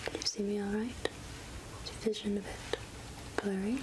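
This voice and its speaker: A woman playing a gentle, absent-minded witch healer in a soft-spoken ASMR roleplay, half-whispering hesitantly close to the microphone. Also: medium pitch, steady tone, lightly acted. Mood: soothing, concerned. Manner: slow, gentle, hesitant murmuring with repeated phrases. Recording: close-up ASMR with clear background noise.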